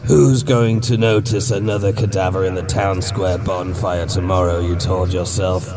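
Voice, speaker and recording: A man voicing a cruel, rotting undead mother in a horror audiobook, growling and taunting in slow, drawn-out phrases. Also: deep voice, steady tone, a crowd in the background. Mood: cruel, accusing.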